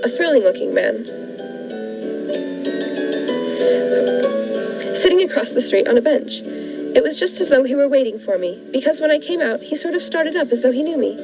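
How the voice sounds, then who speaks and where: A woman playing a shy, excitable spinster of forty in a 1940s-style radio drama, earnest and eager. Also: sits high, quick pace. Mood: thrilled. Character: naive, excitable, shy forty-year-old spinster diarist. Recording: dull lo-fi with music behind.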